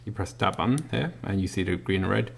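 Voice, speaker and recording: A man reviewing a product in a casual hands-on demonstration, explaining it step by step in a halting way. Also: low-pitched, animated, creaky, husky. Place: indoors at a work desk.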